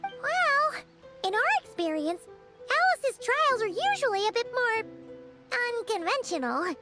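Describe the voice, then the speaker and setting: A woman's voice: very high voice, very animated, unhurried, loud music behind the voice, full character voice. A woman voicing a tiny, childlike, eager fairy companion in an anime game. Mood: confident.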